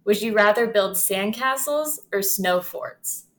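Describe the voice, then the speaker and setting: A woman's voice: medium pitch, expressive, a little slow, a bit bright. A woman from Ohio hosting a podcast, talking in a friendly conversational way. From Ohio, USA. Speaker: podcast host. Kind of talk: casual interview.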